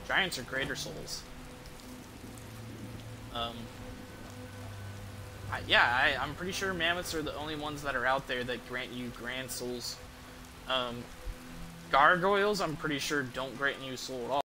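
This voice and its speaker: A man's voice: medium pitch, unhurried, pauses often. Casual man streaming a game, noisy background. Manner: hesitant, rambling, casually profane. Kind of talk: casual gameplay commentary.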